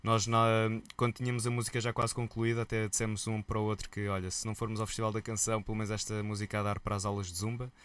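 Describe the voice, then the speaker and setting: A man with a European Portuguese accent, a musician guest telling stories in a relaxed, colloquial interview chat. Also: fairly low pitch, racing, few pauses, clean tone. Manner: lively storyteller, relaxed and colloquial.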